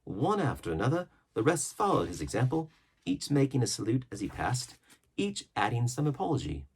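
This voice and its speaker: A man reading an adventure story aloud as expressive scripted narration. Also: medium pitch, a little fast, slightly rough, poor audio. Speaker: audiobook narrator.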